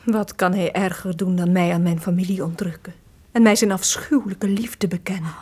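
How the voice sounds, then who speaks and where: A woman's voice: on the low side, fairly even intonation, normal speed, a little mellow, breathy edge. An actress playing a proud, sorrowful captive young noblewoman in a formal, theatrical radio play, solemn and declamatory.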